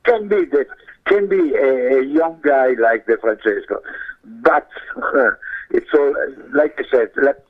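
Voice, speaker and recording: A man with an Italian accent and a hoarse voice, giving soccer commentary as a guest in a halting, repetitive way. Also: mid-range voice, big pitch swings. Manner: halting, repeats words. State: hoarse, losing his voice. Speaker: guest soccer pundit and radio show host. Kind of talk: sports interview commentary. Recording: muffled narrow-band phone line.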